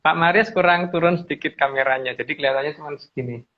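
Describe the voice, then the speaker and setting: A man's voice: a little high, quick pace. A man with a Javanese accent explaining things casually and hesitantly, often qualifying what he says. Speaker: regular guest speaker explaining lore. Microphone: online video call. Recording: dull, muffled call audio.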